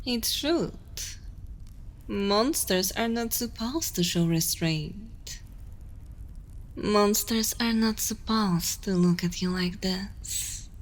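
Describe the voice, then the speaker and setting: Woman acting a slow-spoken, imperious evil queen. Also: medium pitch. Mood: wry, intimate. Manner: slow, deliberate, dominant, often dropping into whispers. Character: imperious, seductive evil queen, menacing yet motherly. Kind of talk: ASMR roleplay.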